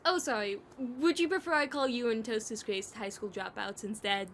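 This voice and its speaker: A woman's voice: a little high, normal speed, a little hiss or hum, natural voice. A woman voicing a playful, sarcastic graffiti artist who teases, in an audio drama. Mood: mocking. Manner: sarcastic, teasing.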